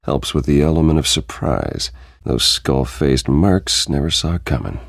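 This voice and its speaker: A man voicing a brooding, controlled masked vigilante in a video game, terse and gravelly. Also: extremely low pitch, animated, normal speed, dry recording, clean studio sound, heavily acted. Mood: grim, focused. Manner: terse, clipped.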